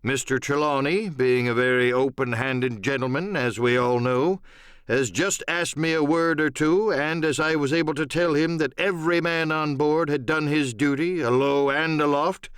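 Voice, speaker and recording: A man's voice: on the high side, steady tone, normal speed, fairly bright tone, smooth, no room sound, studio quality, pitched above the performer's own voice, lightly acted. A man playing a stern, plain-spoken middle-aged ship's captain in an audiobook drama, firm and clipped in manner. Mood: hearty, commanding. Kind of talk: audiobook radio-play character acting.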